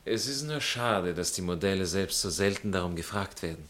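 A jealous baron in a theatrical comedy. His voice sits fairly low. He speaks with aristocratic courtesy, condescension and pointed irony. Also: lively intonation, fairly quick, a bit bright. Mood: offended, pointed. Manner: clipped courtesy, hesitant interjections, pointed irony. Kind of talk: theatrical stage comedy dialogue.

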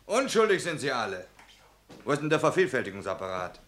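An actor playing a brutal, mocking Gestapo officer in a radio play, sneering and barking orders. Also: male voice, mid-range voice, sing-song, brisk, fairly bright tone.

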